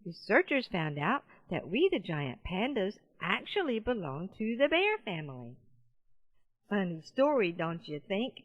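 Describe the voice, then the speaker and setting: A woman's voice: mid-range voice, big pitch swings, measured pace, highs cut off. A woman voicing a friendly giant panda in a children's audiobook, playful and talking straight to young listeners. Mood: chatty, amused.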